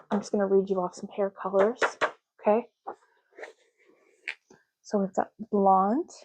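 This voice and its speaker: A woman doing a soft-spoken ASMR roleplay, talking slowly and casually and often repeating words in a deliberate way. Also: medium pitch, lo-fi sound, a little hiss or hum.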